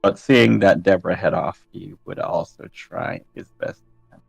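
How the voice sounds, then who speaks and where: A man's voice: deep voice, moderate pace, creaky, breathy edge, no room sound. A man talking casually as a player in a tabletop game about what his character does. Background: music.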